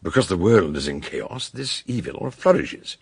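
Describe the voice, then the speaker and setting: An actor in a radio detective drama playing an eccentric older doctor, a grave scholarly sleuth who speaks in a ponderous, theatrical way, with a gravelly, husky voice. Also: male voice, deep voice, big pitch swings, a little slow, exaggerated voice. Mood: grave, emphatic.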